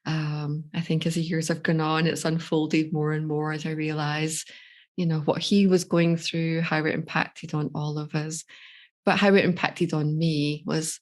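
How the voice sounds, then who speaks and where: A Scottish woman with a notably low voice speaks openly and reflectively as a podcast guest. She is middle-aged and shares her story at a relaxed conversational pace, with an easy laugh. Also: measured pace. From Scotland. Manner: reflective, candid, laughs easily. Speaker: life coach guest. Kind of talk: podcast interview, personal story.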